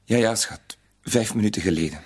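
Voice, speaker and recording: A man's voice: on the low side, a little fast, husky. An actor in a radio play, a man, playing an anxious, cornered middle-aged bank clerk who speaks hesitantly and pleadingly. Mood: meek, evasive. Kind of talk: Dutch-language radio drama acting.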